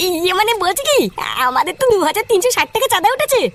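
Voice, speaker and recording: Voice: very high voice, very animated, racing, a bit bright, a little hiss or hum, full character voice. A performer voicing a cheeky, foul-mouthed, scheming young village troublemaker in a comic cartoon, brash and wheedling.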